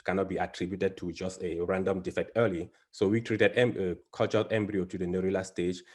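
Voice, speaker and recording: A man from Cameroon, a biology professor, giving an academic presentation over a video call, halting with many fillers and restarts. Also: low-pitched, flat delivery, darkish tone.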